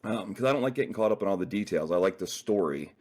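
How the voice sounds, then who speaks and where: A man's voice: sits low, animated, fast, darkish tone. A man talking as a guest author in a casual interview, rambling loosely with many fillers.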